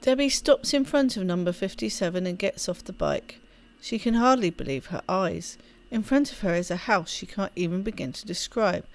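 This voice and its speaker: A woman reading a game's story text aloud in an expressive storybook style, with offhand asides as she plays. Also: medium pitch, normal speed, few pauses. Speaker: let's play host. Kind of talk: reading game narration aloud.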